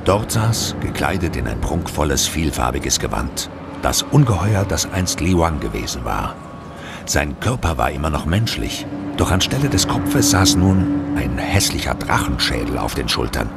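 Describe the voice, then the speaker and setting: Ein Mann erzählt mit rauer, knarrender Stimme dramatisch eine Horrorgeschichte als Hörspielerzähler. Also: male voice, very deep voice, big pitch swings, normal speed, heavy background noise. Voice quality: gravelly. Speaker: narrator. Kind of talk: dramatic scripted horror audiobook narration. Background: loud music.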